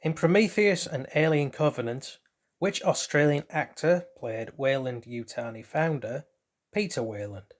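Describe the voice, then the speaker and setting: A man's voice: mid-range voice, measured pace. A man hosting a casual trivia quiz, reading out questions and then rambling loosely through hesitant asides about the answers. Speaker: quiz host.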